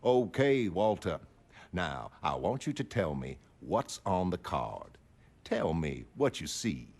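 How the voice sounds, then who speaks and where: A man playing an earnest, self-assured psychoanalyst in a motion comic, speaking in a measured, clinical way. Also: low-pitched, sing-song, gravelly, husky, heavily acted. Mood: gently coaxing. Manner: measured, clinical, gently coaxing with patient. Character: earnest, self-assured male psychoanalyst dictating case notes. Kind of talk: scripted dramatic narration of a motion comic.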